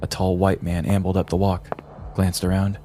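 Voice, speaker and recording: Low, flat-voiced male narrator. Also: moderate pace. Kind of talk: scripted comedic narration. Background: music.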